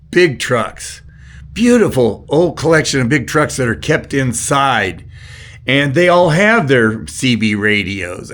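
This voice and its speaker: Slow-talking older man, rambling along. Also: mid-range voice, a bit bright. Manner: rambling, halting, trailing off. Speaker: CB radio hobbyist. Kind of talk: casual unscripted product talk.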